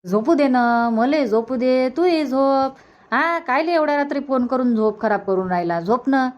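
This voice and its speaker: A woman's voice: sits high, expressive, moderate pace, a little mellow, muffled recording. A woman voicing a grumbling, doubting middle-aged mother in a cartoon comedy, with a Varhadi Marathi accent. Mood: annoyed, disbelieving. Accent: Varhadi Marathi from Vidarbha.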